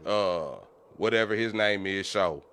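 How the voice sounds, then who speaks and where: Low-voiced American man debating casually. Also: a little slow, a crowd in the background. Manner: insistent, colloquial, repeats points. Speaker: podcast panelist.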